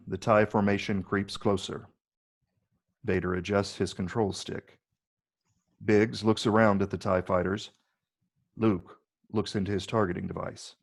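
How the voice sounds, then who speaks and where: A man narrates a script's stage directions in a low register. His voice has a heavy gravelly fry as he reads out the scripted action.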